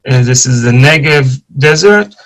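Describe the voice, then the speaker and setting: A man's voice: mid-range voice, poor audio. A man with an Israeli accent giving an online archaeology lecture over a video call, eager and full of fillers and restarts. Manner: enthusiastic, fast when excited, frequent fillers and restarts.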